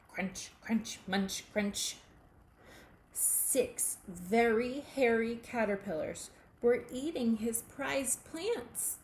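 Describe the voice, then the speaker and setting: A woman reading a children's picture book aloud, in a deliberate, expressive way aimed at young listeners. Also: fairly high pitch. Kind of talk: children's storybook read-aloud. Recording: echoey room with faint background noise.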